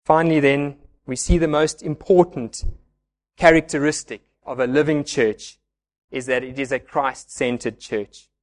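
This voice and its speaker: A man's voice: mid-range voice, steady tone, a little slow. A man preaching a sermon in a church, earnest and pleading as he explains scripture. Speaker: pastor.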